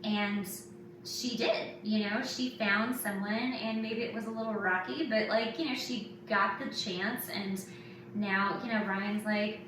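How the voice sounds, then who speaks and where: A woman's voice: medium pitch, steady tone, measured pace. Woman podcasting in a very echoey room. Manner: informal, filler-heavy, conversational. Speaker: podcast host and author. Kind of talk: casual podcast chat. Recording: very echoey, distant mic, clearly noisy.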